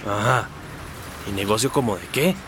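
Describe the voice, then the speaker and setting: An actor playing a sly, bullying street swindler in a radio drama, with slangy, smooth talk that can turn to bluster. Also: male voice, lowish voice, normal speed, very noisy, natural voice. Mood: oily, scheming.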